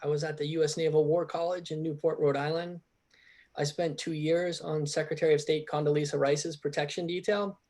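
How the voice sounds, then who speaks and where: A man's voice: fairly high pitch, flat delivery, brisk, darkish tone. A man in his forties, a diplomat on a panel, talking through his career with frequent false starts. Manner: hesitant, many restarts. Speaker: diplomat in residence. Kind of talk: panel introduction.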